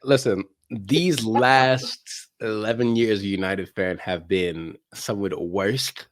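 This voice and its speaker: A man's voice: on the low side, steady tone, takes it slowly, dry recording. A middle-aged American man chatting casually as a podcast guest, using slang and repeating phrases for emphasis. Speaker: interview guest, soccer media personality.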